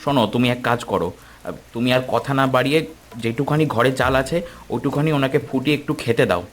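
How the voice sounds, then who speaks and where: A man's voice: medium pitch, quick pace, close to an everyday voice. An actor playing a poor, kind-hearted village father in a cartoon, earnest and musing aloud. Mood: firm. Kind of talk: cartoon voice acting.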